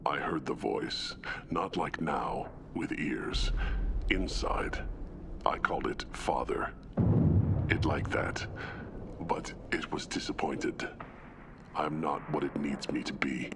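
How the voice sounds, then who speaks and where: An actor playing a solemn, childlike tank-bred alien brute in a video game, with a gravelly growl and halting, simple phrases. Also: male voice, whispered, exaggerated voice. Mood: resigned, plain. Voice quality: very deep, gravelly, breathy growl. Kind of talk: dramatic video game acting.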